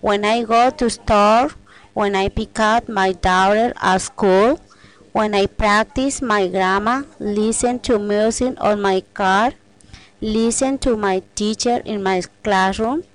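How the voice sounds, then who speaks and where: Mom learning english, slow, lists stuff in simple bits. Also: female voice, mid-range voice, fairly even intonation, poor audio. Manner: listing, simple phrases.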